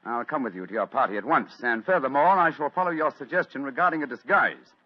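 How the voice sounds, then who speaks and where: A man's voice: mid-range voice, quick pace, dry recording, close to an everyday voice. An actor voicing a brilliant, wry detective in a 1940s radio play, dry and theatrical in his delivery. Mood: intent. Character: brilliant, wry male detective, sharp and amused. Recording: dull lo-fi old radio recording.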